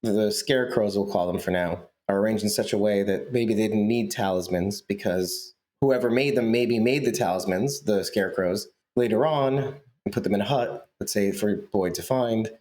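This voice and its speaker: A man's voice: on the low side, moderate pace, hardly any pauses, a little mellow. Teasing, laughing male podcast co-host. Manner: joking, teasing, laughs often. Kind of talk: casual podcast chat.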